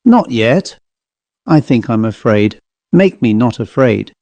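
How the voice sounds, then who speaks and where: A bitter, proud woman full of reproach, as a male narrator reads her lines. Her voice is mellow and sits dry and close to the mic. She accuses rather than pleads. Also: medium pitch, big pitch swings, slow, no room sound, muffled recording. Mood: fearful.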